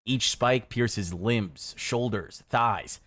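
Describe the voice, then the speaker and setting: A man reading scripted second-person narration in a measured, matter-of-fact way. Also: medium pitch, a bit bright.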